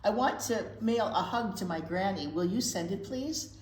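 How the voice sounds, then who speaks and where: A woman's voice: medium pitch, lively intonation, normal speed, a little mellow, natural voice. Woman voicing an eager little boy, roomy sound. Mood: eager, polite. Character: eager, polite young boy pig.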